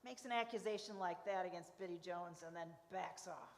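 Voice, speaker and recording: A woman's voice: fairly low pitch, a little fast, close to an everyday voice. A woman playing an indignant, opinionated gossip in a live radio comedy, sardonic in manner. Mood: scornful. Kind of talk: live comic radio-show acting. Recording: echoey reverberant hall.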